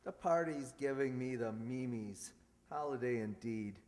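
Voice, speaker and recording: A man's voice: medium pitch, a little slow, darkish tone, close to an everyday voice. A man playing a pompous, blustering school principal in an old-time radio sitcom, with a theatrical delivery. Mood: grumpy. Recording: long hall reverb.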